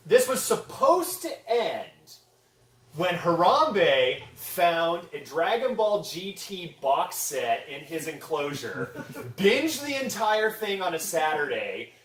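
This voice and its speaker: Theatrical ranting man, high lively voice. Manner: profane, theatrical, mock-conspiratorial. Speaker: comedy panelist at a fan convention. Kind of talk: comedic mock rant presentation to a live audience. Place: indoor convention panel room. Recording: roomy echo, distant convention room microphone.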